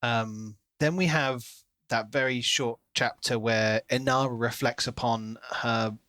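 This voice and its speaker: A man with a mid-pitched voice in casual podcast discussion. He talks things through discursively, with conversational asides, and the sound is close and dry. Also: fairly even intonation, a little slow, pushed and bright, no room sound. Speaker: podcast co-host discussing books. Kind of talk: casual analytical chat.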